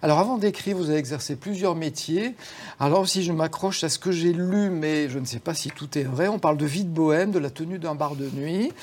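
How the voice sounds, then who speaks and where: A TV show host leading a relaxed interview, chatty, prompting the guest with quick interjections and suggestions. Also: medium pitch. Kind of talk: conversational interview hosting. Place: TV studio.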